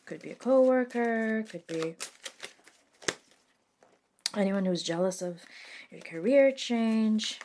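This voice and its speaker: A woman playing a reluctant, sardonic modern witch in a soft-spoken ASMR roleplay, talking casually and hesitantly with dry wit and mild swearing. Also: medium pitch, animated, slow, pauses often, slight background noise. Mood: dry, concerned.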